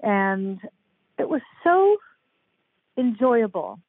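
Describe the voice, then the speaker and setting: A woman's voice: on the high side, very animated, extremely slow, husky, no echo. An older woman, a guest in a casual chat, talking in a chatty, meandering way with frequent laughs. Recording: dry tinny phone-like line.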